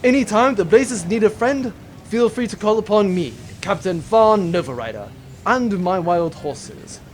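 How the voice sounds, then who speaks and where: A man's voice: high voice, animated, measured pace, a little hiss or hum. A man playing a gallant, pompous space privateer captain with a grand, courtly manner in an audio drama.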